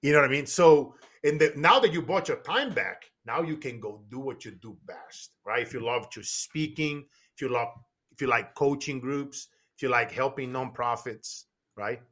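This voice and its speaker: A middle-aged man from Brazil, guesting on a podcast as a business coach. His voice is bright and a little husky, with a forceful, tense edge. He talks emphatically and keeps restarting his sentences. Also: mid-range voice, normal speed. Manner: animated, repetitive restarts, emphatic. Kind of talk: casual podcast conversation.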